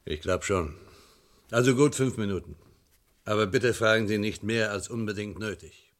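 An actor playing a grave, cautious hospital doctor in a crime radio play. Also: male voice, fairly low pitch, moderate pace, lightly acted. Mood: grave, reluctant.

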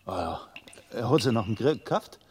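An actor playing an excitable, deferential village police sergeant in a radio crime drama, rustic and hesitant, with a Bavarian accent. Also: male voice, on the low side, fairly quick, a little hiss or hum, natural voice. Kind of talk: 1990s German radio play acting.

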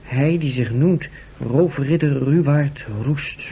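A sober, higher-voiced gentleman adventurer. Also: takes it slowly, vocal fry, husky, full character voice. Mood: grave reading. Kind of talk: radio drama acting. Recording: dull, faintly noisy old radio recording.

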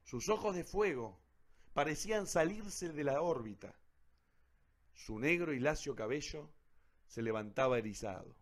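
A man's voice: a little high, animated, a little slow. A man reading scripted, dramatic narration as the narrator of a radio play.

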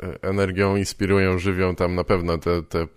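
A man hosting a casual conversation, speaking informally and haltingly, rephrasing as he goes. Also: low voice, little pitch movement, clean tone, no room sound, clean studio sound. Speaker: podcast host, interviewer.

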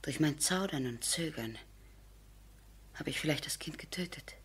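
An actress playing a grieving, resolute noblewoman in a radio play, very breathy and often near a whisper. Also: sits low, moderate pace. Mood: anguished, resolute. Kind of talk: German radio play acting.

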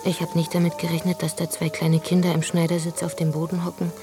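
An actress performing a radio drama monologue, narrating in a hushed, brooding way. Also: female voice, fairly low pitch, completely flat delivery, fast talker, over music.

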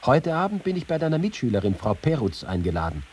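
Witty, combative writer-reporter for radio feature; mellow, very raspy delivery. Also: male voice, medium pitch, sing-song, fast talker. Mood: self-satisfied. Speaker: actor reading letters and writings. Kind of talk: dramatized reading in a radio feature.

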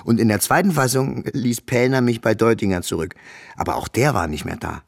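An actor playing a melancholy, loyal companion in a radio play, reflective and wistful. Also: male voice, medium pitch, brisk, vocal fry, no room sound, clean studio sound. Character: melancholy loyal companion, left behind, recalling their story. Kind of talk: German radio play acting.

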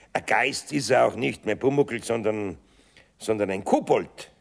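A gravelly old Bavarian carpenter. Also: male voice, fairly low pitch, a little slow. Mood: wry. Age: older. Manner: teasing, dry humor, mumbles and chuckles. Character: older male master carpenter, gruff and kind. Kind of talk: radio play acting.

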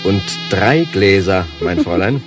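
A smug, genteel gentleman of a radio satire, savouring every word with lecherous pomp. His lowish voice swoops freely up and down. He sits a little away from the microphone, with music playing behind. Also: lively intonation, unhurried, recorded from a distance. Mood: smug, imperious. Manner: pompous, smug, formally genteel. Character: lecherous, pompous, cigar-offering gentleman patron, male. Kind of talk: satirical radio play dialogue.

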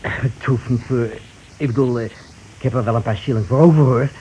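A man's voice: medium pitch, steady tone, brisk, dark tone, natural voice. An actor in a radio play playing an anxious, hesitant visitor looking for his brother, politely stammering and repeating himself. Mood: apologetic, pleading. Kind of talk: naturalistic radio play acting. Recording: noisy old radio play recording.

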